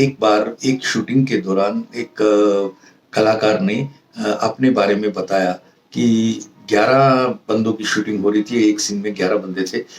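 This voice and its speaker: A man with an Indian accent giving an informal lesson to viewers as a professor, in a halting way that repeats phrases for emphasis. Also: on the low side, a little mellow. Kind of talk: informal instructional monologue to viewers. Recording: roomy echo, distant mic, music behind.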